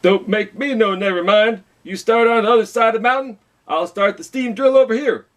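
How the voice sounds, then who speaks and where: A man's voice: high voice, brisk, voice pushed up, natural voice. A man voicing a brash, dismissive boss of a railroad crew in a storybook, with a folksy, hollering manner.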